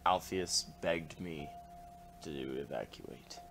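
A man's voice: a bass voice, slow, gravelly, a bit bright, heavily acted. A man voicing a wry, weary, half-dead skeleton with a laid-back joking manner in a comic dub. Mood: somber. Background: music.

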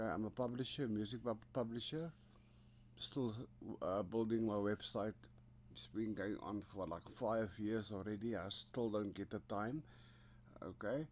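A man with an Afrikaans accent talks slowly through a software demo, his voice sitting a little low. He hesitates often, restarting phrases as he goes. A faint hum sits under the home-studio recording. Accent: South African Afrikaans. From South Africa. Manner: rambling, hesitant, many fillers and restarts. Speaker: composer giving a software tutorial. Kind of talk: casual screen-recorded software walkthrough.

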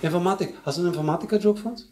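A man in his thirties doing loud, teasing crowd work at a live stand-up show, slangy and laughing often, into a handheld mic. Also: high voice, racing. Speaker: stand-up comedian. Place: small comedy club stage with audience. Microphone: handheld stage mic. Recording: echoey club with audience noise.

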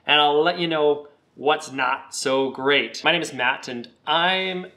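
A man with a slightly croaky voice, recovering from a cold, giving a relaxed, chatty product review on his video channel. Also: fairly high pitch, takes it slowly. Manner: relaxed, chatty, with some fillers.

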